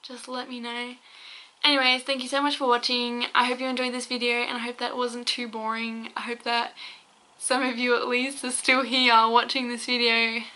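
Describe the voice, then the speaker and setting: A woman's voice: on the high side, little pitch movement, moderate pace, pushed and bright. Breathless, heated young woman vlogger. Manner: heated, rambling, talks straight to viewers. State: hoarse, losing her voice. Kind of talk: angry opinion rant to camera.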